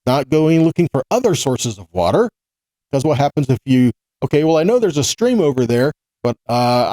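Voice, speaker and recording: A man delivers a scripture lesson in a medium-pitched, slightly soft-toned voice. He teaches in a didactic way, often asking rhetorical questions and checking that listeners follow. The sound is very dry, with no room around it. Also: no echo, poor audio. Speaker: religious teacher giving a weekly scripture teaching.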